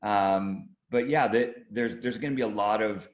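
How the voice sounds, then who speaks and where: Low-voiced man in an echoey room. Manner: halting, hedging, conversational explanation. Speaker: marketing director being interviewed. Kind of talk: product preview interview.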